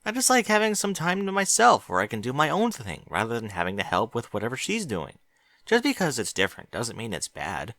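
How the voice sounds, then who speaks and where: A man's voice: medium pitch, wildly swooping pitch, fairly quick, fairly bright tone, no room sound. A man voicing a sensible young boy dragon who works in a library, matter-of-fact.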